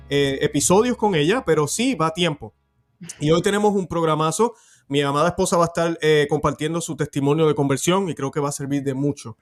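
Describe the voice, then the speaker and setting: A man hosting a religious interview show, introducing and questioning his guest in an upbeat, affectionate way. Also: on the high side. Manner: warm, affectionate and upbeat, with occasional fillers. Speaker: host.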